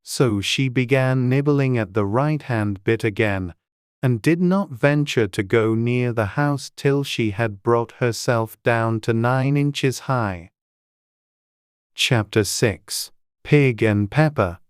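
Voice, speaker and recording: A man narrating a storybook audiobook in a measured, scripted storytelling manner. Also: medium pitch, clean tone, no echo, clean studio sound.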